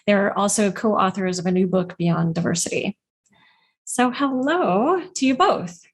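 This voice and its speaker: A woman's voice: mid-range voice, lively intonation, unhurried. A woman hosting an interview podcast, upbeat and casual, with frequent fillers. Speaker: podcast host.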